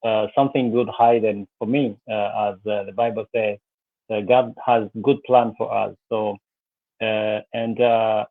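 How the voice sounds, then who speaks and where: A man's voice: fairly low pitch, fairly even intonation, unhurried, no echo. A man in his thirties from Rwanda, speaking earnestly with many fillers as a guest in a live interview over a video call. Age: 30s. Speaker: guest, business coach. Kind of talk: live interview, personal story. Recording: tinny phone-like line, dry.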